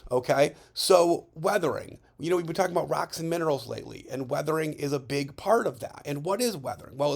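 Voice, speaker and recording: A male science teacher narrating a slide lesson in a chatty way, checking in with students and saying his voice is still recovering from hoarseness. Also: medium pitch. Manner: chatty, checks in with frequent "okay?". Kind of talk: recorded classroom lesson over slides.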